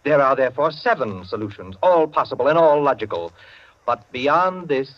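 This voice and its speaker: A dull, vintage radio recording carries an actor as a pompous clubman intellectual. He lectures precisely and argumentatively in a mid-pitched, widely swooping voice, in 1940s radio drama style. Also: animated, moderate pace, natural voice. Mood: pompous, lecturing.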